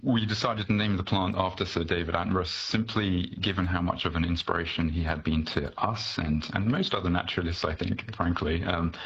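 Male botanist guest from Malaysia, deep voice, articulate and informative, dull lo-fi connection. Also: fairly bright tone, husky. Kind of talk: conversational explanation in an interview. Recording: dull, noisy remote guest line.

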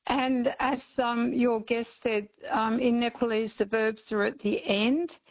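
A woman's voice: on the high side, fairly even intonation, slow pace, low-quality recording. An older Australian woman calling in to a radio talk show, speaking earnestly with frequent ums. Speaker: caller. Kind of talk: radio call-in chat.

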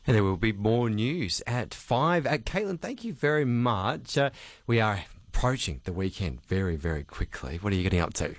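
Brief: upbeat male radio host, lowish voice, close dry studio mic. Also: sing-song, normal speed, no room sound. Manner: upbeat and chatty, with many "uh" fillers. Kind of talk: casual radio chat. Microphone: studio microphone.

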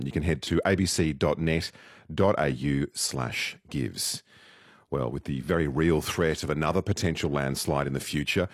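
A male news presenter reading a bulletin in a measured, formal way. His voice sits extremely low, and the studio sound is dry and close. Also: slightly rough, dry recording.

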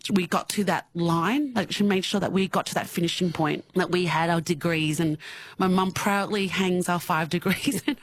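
A woman's voice: lowish voice, steady tone, normal speed, a little hiss or hum. An Australian woman in her thirties speaks as an interview guest. She talks candidly, laughs often and stumbles over the starts of her sentences. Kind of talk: personal interview.

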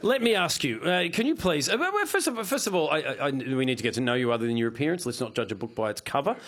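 A man hosting a comedy panel quiz with joking, excitable, stammering banter. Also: medium pitch, quick pace. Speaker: quiz show host.

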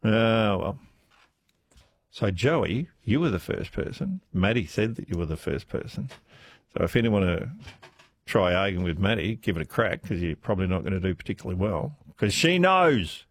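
A man hosting a talkback radio quiz, chatty and playful and quick to laugh, taking callers in a studio. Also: lowish voice, lively intonation. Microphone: studio microphone.

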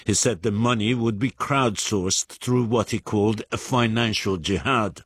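A man correspondent delivering a measured, formal international news report. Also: lowish voice, husky, dry recording, clean studio sound. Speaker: foreign correspondent.

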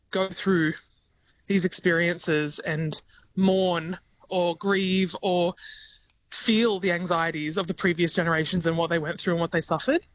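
A woman's voice: on the low side, a little hiss or hum, rough recording. Giggly Australian woman musician on the phone. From regional New South Wales, Australia. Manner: thoughtful, giggly, many ums and restarts. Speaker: singer-songwriter guest. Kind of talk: radio interview over the phone.

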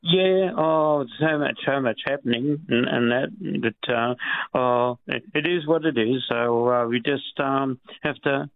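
Male caller, halting and chatty, thin phone-line sound. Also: medium pitch, vocal fry. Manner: halting, repeats words, chatty.

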